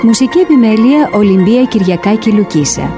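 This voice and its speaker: A woman's voice: mid-range voice, lively intonation. A woman announcer reading credits in a formal, scripted radio style. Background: loud music.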